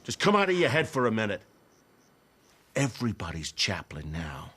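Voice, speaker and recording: Earnest comedian, deep voice, lively swooping intonation, persuasive, optimistic, drama dialogue. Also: male voice, normal speed, slightly rough, exaggerated voice. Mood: earnest, insistent. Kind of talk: radio-play style dramatic dialogue.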